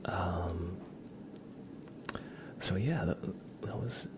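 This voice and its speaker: A man hosting an ASMR livestream, chatting casually in a soft, breathy, often whispered voice with slow, halting, rambling delivery. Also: sits low. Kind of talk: ASMR, casual chat with viewers. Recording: clear background noise.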